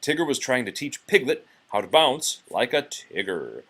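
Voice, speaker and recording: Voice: mid-range voice, sing-song, moderate pace, a bit bright, breathy edge. A parent reading a storybook aloud to a child and chatting about it along the way.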